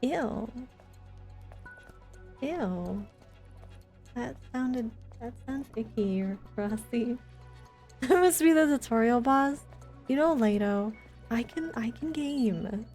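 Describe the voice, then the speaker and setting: A woman's voice: fairly high pitch, animated, slow, long pauses, darkish tone, soft background music. A woman chatting casually as she streams a video game, with playful, teasing banter. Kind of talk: casual livestream gaming chat.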